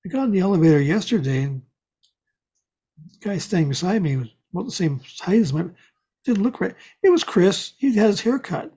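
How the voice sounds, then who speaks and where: A man's voice: high-pitched, very animated, fairly quick, mellow, breathy edge. An older man chatting casually, telling meandering stories and correcting himself as he goes. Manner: meandering storytelling, self-correcting. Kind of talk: casual chat. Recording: dull lo-fi audio.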